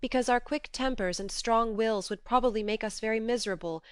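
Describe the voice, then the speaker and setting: Een vrouw als een ernstige, eigenzinnige jonge vrouw. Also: female voice, fairly high pitch, brisk, clear, resonant tone, dry recording, voice pushed up, natural voice. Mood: reasoning. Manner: earnest, reasoning, gently firm. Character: earnest, strong-willed young woman, remorseful.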